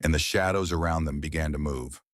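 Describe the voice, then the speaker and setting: A man reading a psychological thriller audiobook with tense, dramatic pauses. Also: extremely low pitch, no room sound, clean studio sound. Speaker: audiobook narrator. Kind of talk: scripted thriller narration.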